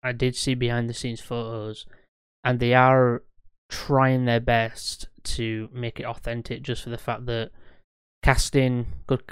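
A man's voice: medium pitch, monotone, a little slow, clean tone, no room sound. A man co-hosting a podcast in casual chat, eager and rambling, often correcting himself mid-sentence. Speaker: podcast co-host.

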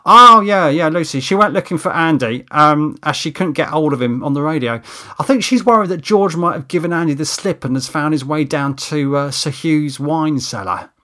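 Man acting a wry, louder, raised-pitch crewman. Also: moderate pace. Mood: offhand. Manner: wry, teasing. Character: Steve, wry, teasing, long-suffering film crew man.

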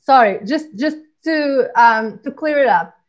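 Animated woman, lo-fi sound. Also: high-pitched, a little slow. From Angola. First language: Portuguese. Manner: animated, laughs easily, thinks aloud. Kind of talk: casual chat. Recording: dull lo-fi audio.

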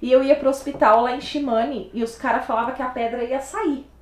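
A woman from Brazil, raised in Paraná, talks through her past as an interview guest. She tells it in a lively, slangy way and keeps calling out to her listeners. Her voice sits a little high and rings in an echoey room. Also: a little fast. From Brazil, São Paulo state, raised in Paraná. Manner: animated storyteller, exclaims to listeners, slangy. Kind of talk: casual conversational interview.

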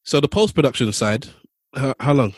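A man's voice: mid-range voice, very animated, moderate pace, a little mellow, breathy edge. A man hosting a podcast, asking questions in a casual conversational style. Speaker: podcast host. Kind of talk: casual interviewing.

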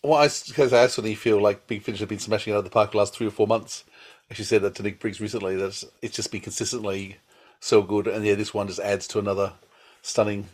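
A man's voice: lowish voice, steady tone, quick pace. A man hosting a casual interview podcast, asking questions and chatting informally.

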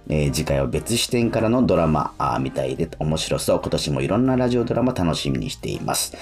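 Low-voiced male radio host, halting delivery. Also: sing-song, darkish tone, soft background music. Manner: halting, filler-heavy delivery. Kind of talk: casual radio talk.

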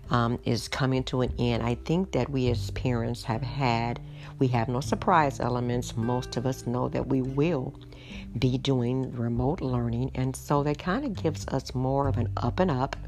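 A middle-aged woman hosting a podcast, hoarse tonight, chatting in an unhurried way with frequent ums. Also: extremely low pitch, a little mellow. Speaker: podcast host. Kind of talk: casual solo podcast talk. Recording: music playing underneath.

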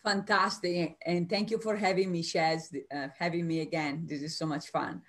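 An Italian American woman with an Italian accent, a career coach speaking as a guest over a video call. She answers spontaneously with energy, in quick bursts with restarts. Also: on the low side, fairly even intonation. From United States.

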